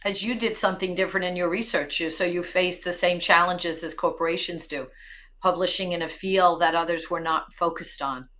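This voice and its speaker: Bright, forceful lower-voiced woman hosting. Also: fairly even intonation, a little fast. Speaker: host, co-moderator. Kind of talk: courteous live event hosting.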